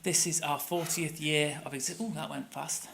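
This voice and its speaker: A man's voice: on the high side, steady tone, normal speed, creaky, fairly bright tone, a little hiss or hum. A middle-aged man with a Manchester accent giving a conversational conference presentation, with many fillers and restarts. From England. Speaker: tour operator presenter. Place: conference room.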